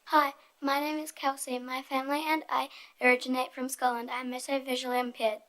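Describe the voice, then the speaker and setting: A woman's voice: sits high, little pitch movement, fairly quick, pushed and bright. A young woman reading her part of a prepared presentation as a youth speaker. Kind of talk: prepared group presentation, read aloud.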